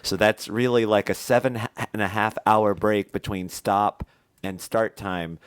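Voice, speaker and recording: Podcast co-host, male, thirties, very low and smooth voice, nasal from a cold, talks in long rambling stretches. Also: steady tone, a little slow, no echo, studio quality. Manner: rambling, chatty, many fillers. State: congested with a head cold. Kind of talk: casual chat.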